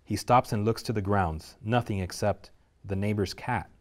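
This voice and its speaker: A man reading the stage directions aloud at a script table reading, steady and measured. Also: on the low side.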